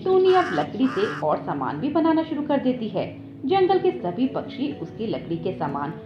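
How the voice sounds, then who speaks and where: Woman narrating a story, lively pitch. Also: moderate pace. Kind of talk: scripted children's story narration. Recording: echoey, dull audio with background music.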